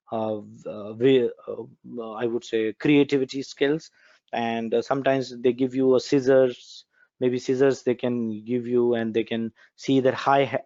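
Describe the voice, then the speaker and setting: A man's voice: medium pitch, a little mellow, no echo, dull audio. Man lecturing with many hesitant fillers. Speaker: corporate trainer. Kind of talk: recorded training lecture.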